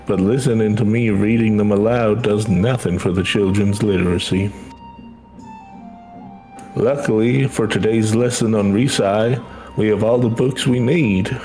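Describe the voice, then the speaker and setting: Man voicing a low-pitched, measured older schoolteacher. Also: mellow, close to an everyday voice. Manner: measured, formal storytelling delivery. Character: earnest older schoolteacher devoted to tradition. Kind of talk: reading video game dialogue aloud. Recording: music under the voice.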